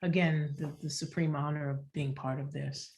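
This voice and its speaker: Female theater director on a panel, lower register, thoughtful, feeling her way through each phrase. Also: flat delivery, a little mellow. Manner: halting, searching, thoughtful phrasing. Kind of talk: reflective panel discussion.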